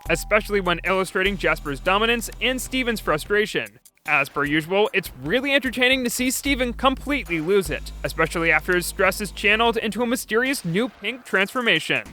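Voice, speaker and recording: A man's voice: high voice, pushed and bright. A man reading a scripted video review as its host and narrator. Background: background music.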